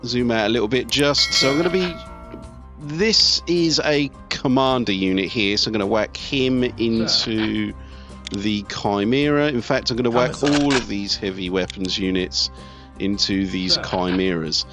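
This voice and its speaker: A man in middle age hosts a casual strategy game playthrough in a fairly low voice. He thinks out loud in a conversational way. Game music plays clearly behind his commentary. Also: unhurried. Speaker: video host, gamer.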